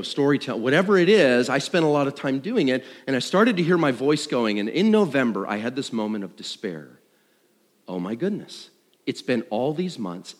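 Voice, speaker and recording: A man with a medium-pitched voice shares a personal testimony as a worship leader. He talks conversationally and with self-deprecation, voicing bits of dialogue and his own thoughts as he goes. The sound is live, with long reverb from a large hall. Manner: animated storyteller, acting out dialogue and thoughts. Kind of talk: personal testimony told to a congregation. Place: large room, speaking to an audience.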